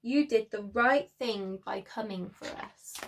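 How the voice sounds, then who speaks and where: A woman voicing a wise, gentle father lion, reassuring, in a children's storybook. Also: medium pitch, slow pace, vocal fry, a little hiss or hum.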